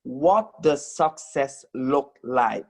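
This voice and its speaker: A woman adding a short, deliberate comment to a panel discussion. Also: very deep voice, lively intonation. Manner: slow, deliberate. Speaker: panel participant.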